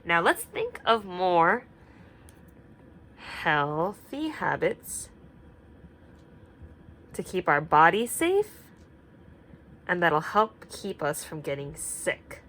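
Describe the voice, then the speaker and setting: A young woman teacher reading a picture book aloud and asking the children gentle questions, sometimes dropping to a whisper. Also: on the low side, very animated, extremely slow, long pauses. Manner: drops to a whisper at quiet parts.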